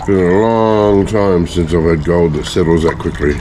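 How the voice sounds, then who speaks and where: A man with a hoarse, failing voice from a bad cough, casually talking viewers through his home refining work in a chatty, rambling way. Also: sits low, normal speed, background noise. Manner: chatty, rambling, self-mocking asides. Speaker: hobbyist gold refiner and video maker. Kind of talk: casual talk-through of a lab process.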